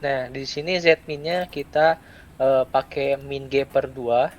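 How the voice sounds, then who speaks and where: A young adult man gives a step-by-step software tutorial, speaking in short, halting phrases with pauses. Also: medium pitch, steady tone. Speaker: student presenter giving a software tutorial. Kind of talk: step-by-step screen tutorial, unscripted. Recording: clear background noise.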